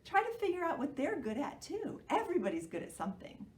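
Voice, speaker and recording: A roomy recording, set back from the microphone, carries a woman's children's storytime. Her voice is lively and melodic. She narrates expressively and gently instructs with questions to the listeners. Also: very high voice, quick pace. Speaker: children's library storytime reader. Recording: roomy echo, far from the mic.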